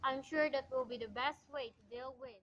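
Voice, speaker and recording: Girl doing a polite phone operator in a radio drama, high pitched, formal and official, noisy recording. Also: fairly even intonation, normal speed, muffled recording, lightly acted. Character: polite, procedural female telephone operator. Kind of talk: amateur radio play acting.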